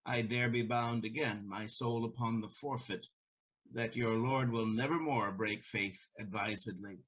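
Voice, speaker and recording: A man playing an elderly, loyal merchant in a Shakespearean audio play, speaking in a measured, solemn way. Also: lowish voice, fairly even intonation, fairly bright tone, husky, poor audio. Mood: grave, earnest.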